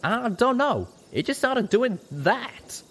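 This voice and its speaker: Man voicing a high-pitched folksy cowboy pegasus. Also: very animated, slow, fairly bright tone, breathy edge, exaggerated voice. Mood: nervous, defensive. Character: folksy, nervous male pegasus cowboy pony. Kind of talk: audiobook character voice.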